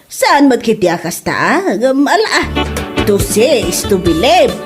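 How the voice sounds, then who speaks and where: A woman's voice: fairly high pitch, sing-song, a little slow, loud music behind the voice. A woman playing a nagging mother who admonishes her grown son in an Ilocano comedy drama, moralizing in an Ilocano accent. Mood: skeptical, teasing. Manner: scolding, moralizing. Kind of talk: comedy audio drama acting.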